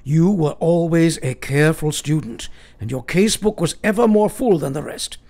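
A man's voice: on the high side, moderate pace, darkish tone, lightly acted. A man playing an eccentric, commanding professor in a radio play, speaking in an earnest, formal and emphatic way. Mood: earnest counsel. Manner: emphatic, formal, oddly phrased, counselling.

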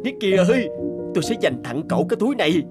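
A man's voice: high-pitched, very animated, fast. A man voicing an earnest, devoted mountain climber in a cartoon, speaking emotively. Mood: determined. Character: earnest adult male mountain climber, guilt-ridden and devoted friend. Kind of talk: dubbed cartoon acting. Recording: loud music over the voice.

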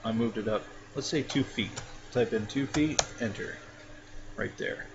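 A man casually talking through a software tutorial step by step, with frequent fillers. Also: mid-range voice, measured pace. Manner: relaxed, talks through each step with fillers. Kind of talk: casual screen-recorded tutorial. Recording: dull lo-fi mic with clear background noise.

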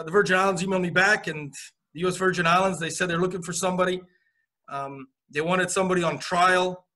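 A man in his forties who grew up abroad, talking through his coaching career over a video call. His voice sits a little high and sounds bright and forward. He rambles easily, with plenty of fillers. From Romania. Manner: relaxed storytelling with many uh fillers. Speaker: college women's soccer coach. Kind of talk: video call interview answers. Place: indoor office.

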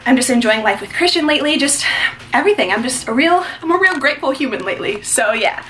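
A young American woman vlogs in a casual, chatty way. Her voice sits a little high and she talks quickly, with effusive, slangy phrasing. There is some faint noise behind her. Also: lively intonation, bright, forceful tone. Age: young adult. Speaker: vlogger. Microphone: camera at arm's length.

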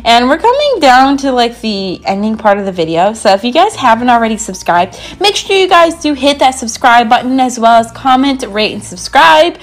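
A woman's voice: on the high side, very animated, moderate pace, hardly any pauses. A young woman gaming creator doing a casual, bubbly, rambling voiceover with a slightly sore throat. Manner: bubbly, rambling, frequent "like" and asides. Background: background music.

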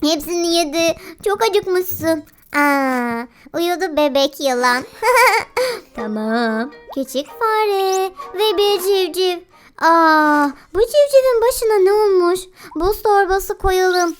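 Very high-pitched, playful child-like kids' game host. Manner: exclamatory, cooing baby talk to the animals. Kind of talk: playful children's gameplay commentary. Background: children's music.

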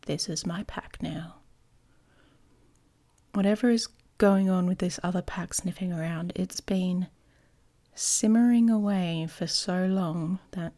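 A woman playing a smug, playful young werewolf pack leader in an intimate ASMR romance roleplay, teasing and often slipping into a breathy whisper. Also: lowish voice, darkish tone. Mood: earnest, resolute.

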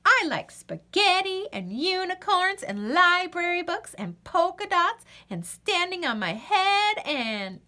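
A woman voicing a chatty, excitable, easily distracted young female bird in a storybook, gushing and rambling with drawn-out exclamations. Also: very high voice, pitched above the performer's own voice, exaggerated voice. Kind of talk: storybook read-aloud.

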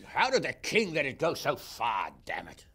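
A gruff, sardonic lord. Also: male voice, medium pitch, huge pitch swings, moderate pace, fairly bright tone, heavily acted. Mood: blunt. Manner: blunt, swearing, sardonic. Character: blunt gruff male lord, impatient and sardonic.

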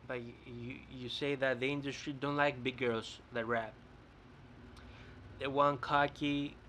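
A man's voice: mid-range voice, pushed and bright, slight background noise. Young Greek-accented man ranting to webcam. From Greece. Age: young adult. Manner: rambling, repeats and restarts words. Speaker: vlogger. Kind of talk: casual rant to camera. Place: bright indoor room. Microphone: webcam close up.

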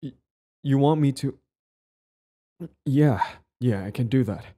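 A man's voice: medium pitch, takes it slowly, warm tone. A man playing a lovestruck, clingy young bully in intimate romance roleplay audio, stammering, sighing and pleading. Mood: flustered, startled.

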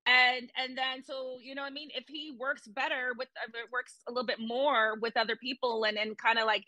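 A woman's voice: high-pitched, flat delivery, brisk, pushed and bright, no echo, low-quality recording. A woman guest on a casual video call, hesitant and rambling with many restarts. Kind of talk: casual chat. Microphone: webcam on video call.

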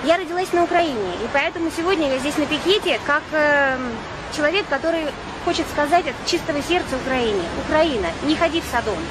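A woman in her forties, born in Ukraine and raised in Russia, giving an urgent, insistent speech at a street picket and often pausing on drawn-out "uh" fillers. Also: high voice, noisy background. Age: 40s. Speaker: protester at a picket. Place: outdoor street picket beside traffic.

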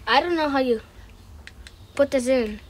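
A woman's voice: high voice, measured pace. A woman hosting a casual unboxing, making short, repetitive remarks as she handles items. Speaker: host of an unboxing video. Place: indoors, room with wooden floor. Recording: clicks and handling noise.

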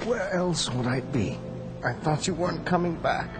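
A man's voice: on the high side, moderate pace, close to an everyday voice. An actor playing a sly, persuasive male prisoner said to be the devil, theatrical and sardonic, in a radio drama. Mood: wary, probing. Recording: music bed behind the voice.

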